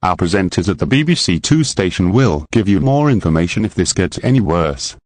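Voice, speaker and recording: A man's voice: deep voice, sing-song, brisk, a little mellow, no room sound. A man playing a television national alert announcer, reading a scripted bulletin in a formal, official, impersonal way. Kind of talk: scripted emergency news bulletin.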